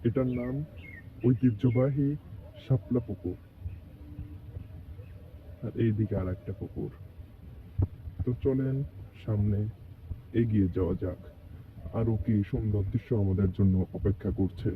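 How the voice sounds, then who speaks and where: A man with a fairly low voice and a Bangladeshi accent narrates a walking travel vlog. He speaks to viewers as their guide, recorded outdoors a little way from the microphone. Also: fairly even intonation, measured pace, long pauses, distant mic, narrow, tinny audio, slight background noise. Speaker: vlogger, tour guide to viewers. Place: outdoors, walking around grounds.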